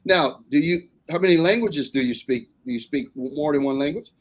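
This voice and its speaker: A man with an American accent, interviewing casually as host, folksy and quick to interject and react. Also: a little high, fairly bright tone.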